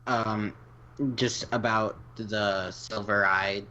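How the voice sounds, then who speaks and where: Guy chatting on a podcast, bright lowish voice, dull lofi mic with some hiss. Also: flat delivery, extremely slow. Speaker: podcast panelist. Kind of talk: casual chat. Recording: dull, noisy low-fidelity mic.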